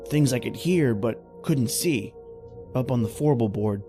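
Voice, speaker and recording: A man acts out a plain-spoken middle-aged roughneck in an old-time radio horror play. He talks in folksy slang at a medium pitch and hesitates as he recalls dark events. Music runs underneath. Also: lively intonation, a little slow. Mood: haunted storytelling.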